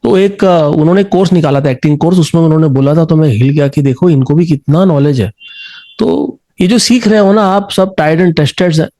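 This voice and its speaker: Mellow, higher-pitched Indian male coach. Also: moderate pace. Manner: encouraging, mixes Hindi with English phrases. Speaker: singing coach and host. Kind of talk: conversational coaching interview.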